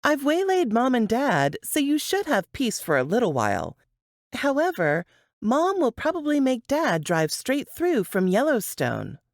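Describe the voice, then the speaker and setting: A female narrator plays a caring, upbeat sister in an audiobook. Her lines swing widely in pitch, lifting above the narration, affectionate and teasing, recorded dry and close. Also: wildly swooping pitch, unhurried, dry recording, exaggerated voice.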